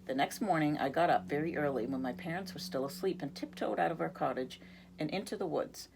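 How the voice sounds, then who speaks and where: A woman's voice: sits low, a little fast, gravelly, noisy background. A woman reading a picture book aloud expressively, now and then speaking to young listeners. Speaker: reader.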